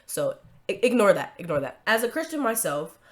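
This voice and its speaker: A woman's voice: medium pitch, very animated, a little fast. A woman hosting a commentary video, talking casually with a stuffy nose from a cold, emphatic and chatty with frequent tag questions.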